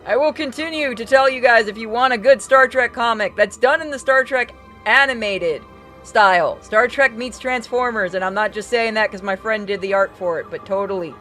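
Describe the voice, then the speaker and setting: A woman's voice: fairly high pitch, normal speed, fairly bright tone. A woman streaming a video game, chatting casually and informally as she plays. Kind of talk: casual chat while playing a game on stream. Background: game music.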